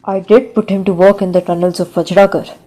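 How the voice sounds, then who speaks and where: A woman's voice: on the low side, flat delivery, fairly quick, mellow, natural voice, rough recording. A woman playing an exasperated overseer in an audio play, reporting deferentially to a superior.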